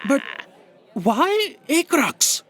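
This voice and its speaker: A woman's voice: high voice, big pitch swings, extremely slow, fairly bright tone, full character voice. A woman playing a stern, exasperated official in charge in an audio drama, commanding and blunt.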